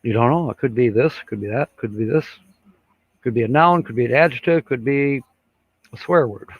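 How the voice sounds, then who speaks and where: A man's voice: medium pitch, no echo. An older man hosting a casual live stream, rambling and chuckling, with many fillers as he reads out viewer comments. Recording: dull lo-fi sound.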